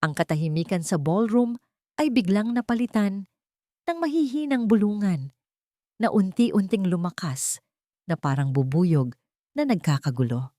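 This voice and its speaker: A woman narrating a dramatic first-person audiobook story in a measured, storytelling way. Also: mid-range voice, lively intonation, dark tone, no room sound, clean studio sound.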